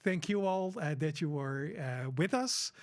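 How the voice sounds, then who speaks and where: A man's voice: on the high side, unhurried. A man, co-hosting an informal podcast and speaking hesitantly with many fillers, laughing easily. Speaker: podcast co-host. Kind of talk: informal unscripted conversation.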